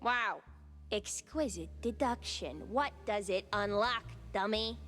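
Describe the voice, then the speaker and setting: An actress playing a sassy, quick-witted young mouse tinkerer in a cartoon game, teasing and expressive. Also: female voice, medium pitch, faint music behind. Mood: teasing, impatient.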